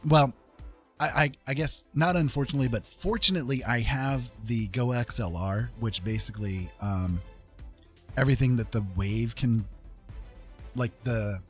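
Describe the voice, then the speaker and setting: A man chatting casually to viewers as a live streamer, rambling along in an easygoing, informal way. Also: medium pitch. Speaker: former radio host. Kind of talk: casual livestream chat with viewers. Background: music playing.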